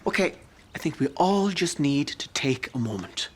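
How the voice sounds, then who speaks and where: A man's voice: fairly high pitch, big pitch swings, moderate pace, background noise. An actor playing an exasperated man who answers everything with sarcasm. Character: exasperated middle-aged man, sarcastic and easily riled. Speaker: put-upon son-in-law. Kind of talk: sitcom acting.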